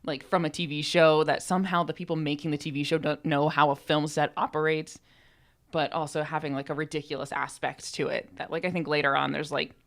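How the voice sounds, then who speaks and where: Low-voiced woman podcaster, informal and rambling. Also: little pitch movement, brisk. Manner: informal, rambling, self-deprecating. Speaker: podcast co-host and co-writer. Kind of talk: casual explanatory chat. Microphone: podcast microphone.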